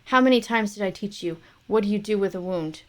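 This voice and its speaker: A woman's voice: mid-range voice, brisk, natural voice. A woman voicing a scornful, scolding older male fencing teacher. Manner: scolding, contemptuous.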